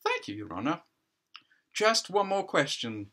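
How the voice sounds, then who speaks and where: A man's voice: on the high side, sing-song, measured pace, a bit bright, heavily acted. A man voicing a sceptical prosecuting counsel in a play, asking pointed, insinuating questions. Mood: needling. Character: sceptical, needling prosecuting counsel at a hearing, male.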